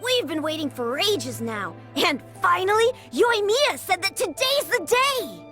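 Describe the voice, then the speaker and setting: A woman's voice: very high voice, very animated, a little slow, over music, full character voice. A woman voicing an eager, stubborn village child who insists the monster is real. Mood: insistent.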